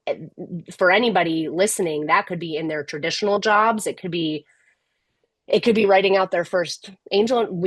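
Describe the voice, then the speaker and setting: A middle-aged woman speaking as a podcast guest, candid and measured, often restarting her sentences. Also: lowish voice, dry recording. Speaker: podcast guest, tech executive. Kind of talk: casual interview conversation.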